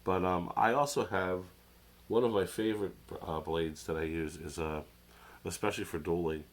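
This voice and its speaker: A man's voice: low voice, fairly even intonation, moderate pace. A man with a cold and a nasal voice, rambling through a casual show-and-tell product review at a desk. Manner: rambling, hesitant, many fillers and restarts. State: has a cold, sounds nasal. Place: at a desk under an overhead camera.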